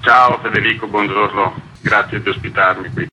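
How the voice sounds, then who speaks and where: A man's voice: on the low side, fairly even intonation, normal speed, pushed and bright, a little hiss or hum, rough recording. A man speaking as a union activist guest, explaining his work in an interview.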